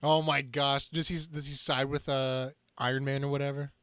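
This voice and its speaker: A male podcast co-host trading casual banter in a giggly, excitable way. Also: medium pitch, moderate pace, a little hiss or hum.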